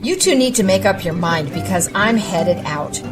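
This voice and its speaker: A woman's voice: medium pitch, animated, normal speed, lightly acted. An actress playing a friendly, no-nonsense woman gardener in an audio drama. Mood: friendly, brisk. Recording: music behind the voice.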